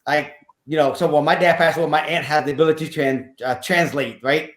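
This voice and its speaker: A man's voice: fairly high pitch, steady tone, brisk, a bit bright, reverberant. A man in his fifties from Vietnam, telling his life story as a podcast guest in an earnest, repetitive way with some nonstandard grammar. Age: 50s. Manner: earnest, repeats phrases, slightly nonstandard English grammar. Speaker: podcast guest, real estate investor. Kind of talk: casual interview storytelling.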